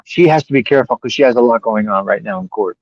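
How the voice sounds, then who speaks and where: A man's voice: lowish voice, quick pace, no room sound, highs cut off. A man hosting a casual talk show who rambles, hedges and repeats himself as he thinks aloud. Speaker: podcast host. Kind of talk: casual conversation.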